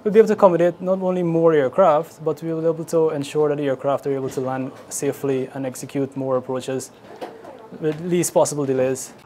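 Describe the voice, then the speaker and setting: A man's voice: a little high, fairly even intonation, fairly quick. A man with a Guyanese accent giving informal interview answers as an air traffic controller. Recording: clearly noisy location interview.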